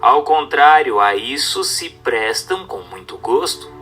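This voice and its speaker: Bright-voiced man, solemn animated narrator. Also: medium pitch, slow. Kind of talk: formal scripted reading of a religious text. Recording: narration with background music.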